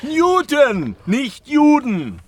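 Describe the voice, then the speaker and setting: Radio drama: elderly statesman, dry irony, unhurried delivery, wide inflection, slight noise behind. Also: very high voice, huge pitch swings, full character voice. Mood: agitated, indignant. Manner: deliberate, halting, echoing words, ironic. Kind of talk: German radio play acting.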